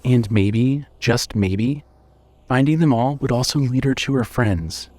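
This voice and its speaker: Man, narrator, scripted horror fiction, mid-range pitch, audio drama storytelling. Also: measured pace, darkish tone, no room sound.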